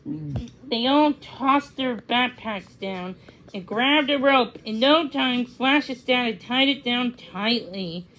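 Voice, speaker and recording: A woman reading a children's story aloud as a read-along storyteller, in a halting, word-by-word way. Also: fairly high pitch, a bit bright, slight background noise, poor audio.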